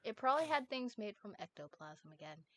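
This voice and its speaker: A woman's voice: medium pitch, sing-song, brisk, a bit bright. Woman co-reading fanfic on stream, bouncy voice, easygoing chat. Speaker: fanfic co-reader. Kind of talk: reading a story aloud and chatting on stream.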